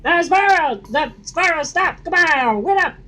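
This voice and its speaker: A woman voicing a brash, loud young male dragonfly sidekick with an irreverent, shouty manner. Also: extremely high pitch, expressive, unhurried, heavily acted.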